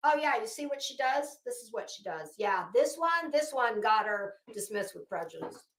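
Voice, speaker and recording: A woman giving informal, opinionated commentary in an emphatic, scornful manner, swearing freely and pausing dramatically. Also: on the high side, sing-song, a bit bright, reverberant. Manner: emphatic, scornful, profane, dramatic pauses and repetition. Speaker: online commentator.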